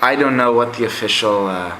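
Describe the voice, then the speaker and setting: A young man whose first language is Greek talks through his games in a loose, chatty way, full of "uh" and "like". His voice is bright and forward. The room is echoey. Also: mid-range voice, brisk, slight background noise. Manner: rambling and chatty, with many fillers. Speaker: game collector vlogger. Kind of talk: casual game collection review.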